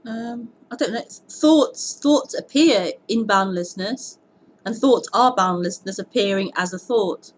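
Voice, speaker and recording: A woman hosting a live-streamed non-duality sitting, speaking earnestly in halting phrases, often repeating words and breaking into laughter. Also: medium pitch, rough recording. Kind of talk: live-streamed spiritual talk and Q&A.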